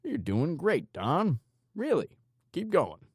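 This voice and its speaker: A man voicing a calm, sinister male psychopathic gangster in an audiobook, with menacing, mock-teacherly politeness. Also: on the low side, unhurried, creaky, no echo, studio quality, full character voice. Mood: sinister encouragement. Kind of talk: audiobook character dialogue.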